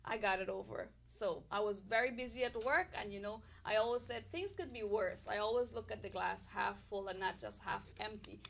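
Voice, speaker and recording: A woman's voice: medium pitch, steady tone, a little fast, vocal fry, few pauses, a bit bright, slight background noise. Upbeat woman greeting viewers, Caribbean accent. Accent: Caribbean English. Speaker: talk show host. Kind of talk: casual livestream health talk.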